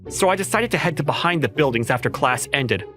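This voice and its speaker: A man playing an exasperated, awkward teenage schoolboy, telling his story in an expressive, incredulous manga dub style. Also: on the high side, fast talker. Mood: wry narrating. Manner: expressive, incredulous, self-talking. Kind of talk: manga dub narration and dialogue. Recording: music behind the voice.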